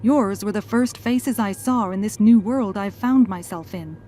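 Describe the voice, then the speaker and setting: A woman's voice: mid-range voice, a little slow, warm tone, close to an everyday voice. A woman playing a thoughtful, gracious scientist and mother in a fan audio drama. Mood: grateful. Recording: faint background noise.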